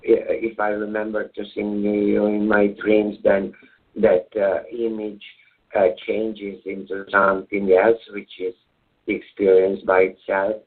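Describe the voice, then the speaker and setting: A man's voice: on the low side, monotone, unhurried. A man with a Serbian accent, a native Serbian speaker, answering as a guest in a call-in radio interview, hesitant with many filler sounds. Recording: tinny phone-line audio.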